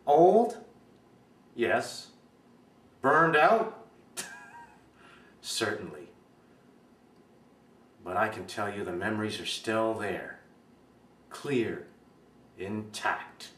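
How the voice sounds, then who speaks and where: A man playing an impassioned aging father in a dramatic film monologue, speaking with deliberate, emphatic oratory. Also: a little high, fairly bright tone, pitched above the performer's own voice, exaggerated voice. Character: aging father, earnest and impassioned, defending young love. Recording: echoey room, distant mic, faint noise.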